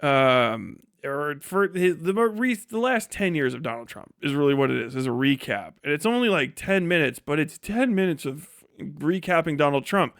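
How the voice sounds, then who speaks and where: Casual male streamer, smooth and fairly high-pitched, very dry sound. Sardonic, exasperated, swears constantly, rambles freely. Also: expressive, normal speed, fairly bright tone, no echo. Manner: heavily profane. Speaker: livestreamer and video creator giving a work update. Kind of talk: casual rambling livestream chat.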